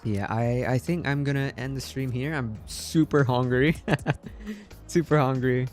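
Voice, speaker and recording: A man from the Philippines chatting casually on a livestream, halting and repeating his words, polite and appreciative. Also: medium pitch, fairly even intonation, a little mellow, soft background music. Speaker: game streamer. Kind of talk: casual livestream chat with viewers.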